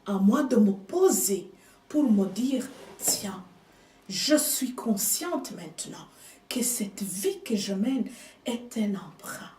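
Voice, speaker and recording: Female preacher, non-native speaker, measured emphatic sermon, distant echoey pickup. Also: mid-range voice, sing-song. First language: another language than French. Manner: slow, emphatic preaching with hushed asides. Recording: echoey room, speaker off mic.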